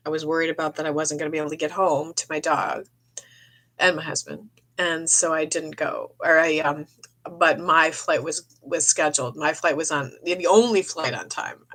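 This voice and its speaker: A middle-aged woman with a cold, losing her voice, chatting in a meandering way on a live stream and often clearing her throat. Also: sits low, a bit bright. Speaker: psychic medium streaming live. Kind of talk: rambling live-stream chat. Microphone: phone or webcam for a live stream.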